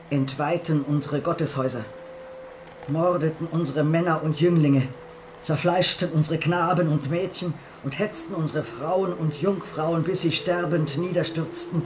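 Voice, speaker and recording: A man's voice: on the high side, noisy background, close to an everyday voice. A man playing a very old, mournful village woman in a radio drama, speaking in solemn, declamatory tones.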